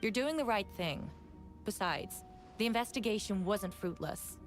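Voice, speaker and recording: An actress playing a stern female prosecutor in a video game courtroom, speaking in a formal, measured, deliberate way. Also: medium pitch, expressive, soft background music, close to an everyday voice. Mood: firm, measured.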